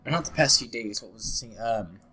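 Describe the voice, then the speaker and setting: A man's voice: mid-range voice, expressive, brisk, pushed and bright, husky. A young man hosting a casual podcast, sounding congested and a little scratchy from a cold, rambling with lots of fillers and restarts. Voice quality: slightly scratchy, nasal. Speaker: podcast host.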